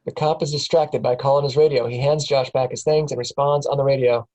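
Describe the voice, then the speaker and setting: A man's voice: mid-range voice, fairly even intonation, fairly quick, mellow. A man narrating stage directions matter-of-factly at a film script table read.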